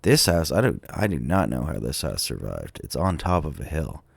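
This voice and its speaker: A sick man with a cold thinks aloud in a casual, hesitant, musing way, with many ums and trailing pauses, as he narrates a vlog. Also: a bass voice, sing-song, vocal fry, husky, no echo. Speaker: vlogger touring tornado damage on a map.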